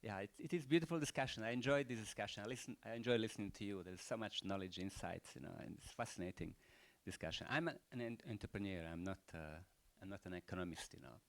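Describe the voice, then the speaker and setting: Older man, croatian accent, lowish voice, rambling closing remarks. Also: fast talker, creaky, few pauses. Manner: rambling, peppered with "you know", rhetorical questions. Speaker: entrepreneur giving closing remarks. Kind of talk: unscripted speech at a panel event.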